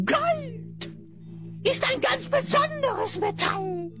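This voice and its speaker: Squeaky, cheeky little rhyming creature. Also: female voice, sing-song, very slow, loud music behind the voice, full character voice. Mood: sly. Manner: theatrical, speaks in rhymes. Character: cheeky small rhyming talking creature, mocking and boastful. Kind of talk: German fantasy audio drama acting.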